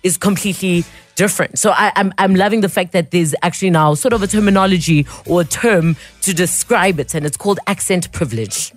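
A woman with a South African accent hosting a talk radio show, chatty and quick to laugh. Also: lowish voice, dry recording, faint music behind. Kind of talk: casual talk radio chat. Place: radio studio.

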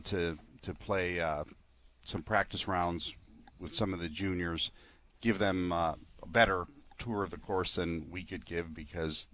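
A man's voice: deep voice, a bit bright. A man who directs a tournament, answering interview questions in a plain, friendly way with frequent fillers.

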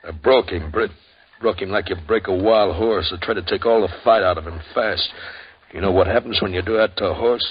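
Old ex-sheriff, father, very low voice, husky, drawling, muffled old radio sound. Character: aging former sheriff, guilt-ridden father. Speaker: former sheriff. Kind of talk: 1950s radio drama acting. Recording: dull old radio broadcast.

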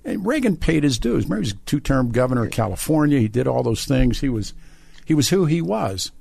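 A man's voice: mid-range voice, big pitch swings, fast, creaky, warm tone, breathy edge. A man with an American accent hosting a conversational radio call-in show, often repeating and restarting his phrases. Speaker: radio talk show host. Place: radio studio.

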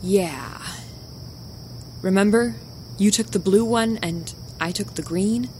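An actress playing an anguished, fragile young ex-girlfriend in an audio drama, pleading in a hushed, halting way. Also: mid-range voice, lightly acted. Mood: tentative, wistful. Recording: noisy, night insect ambience behind.

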